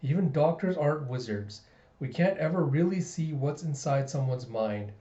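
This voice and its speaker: A man playing a composed, serious father who is secretly a calculating spy, speaking in measured, formal sentences. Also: on the high side, animated, mellow, echoey room, highs cut off, natural voice. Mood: earnest.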